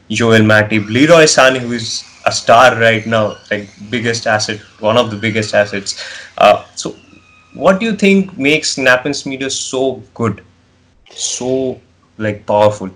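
A man's voice: lowish voice, a little slow, fairly bright tone, poor audio. A man with an Indian accent interviewing a football coach, asking questions in a casual way that is full of "like". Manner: casual, fills with "like".

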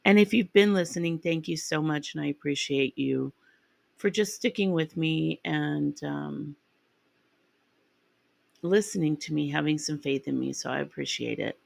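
Raspy-voiced woman podcast host. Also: sits low, moderate pace, dry recording. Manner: rambling and chatty, with ums and restarts. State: raspy from a sinus infection. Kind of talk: casual true-crime podcast narration.

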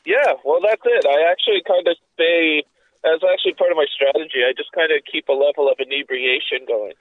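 A man's voice: fairly high pitch, fairly quick, narrow, tinny audio. A congested man with a cough and sore throat, chatting casually on a podcast in a rambling, profane way. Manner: rambling, profane, full of fillers. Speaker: podcast co-host. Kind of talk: casual chat.

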